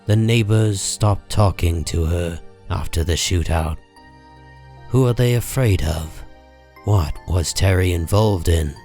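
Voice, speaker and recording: Deep, slow male narrator. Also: dark tone, soft background music. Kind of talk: scripted dramatic comic book narration.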